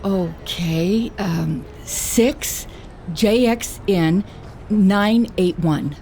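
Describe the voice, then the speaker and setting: An actress playing a curious, game detective's sidekick in a radio drama, speaking in a measured, candid manner. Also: female voice, medium pitch, dark tone, a little hiss or hum. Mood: careful.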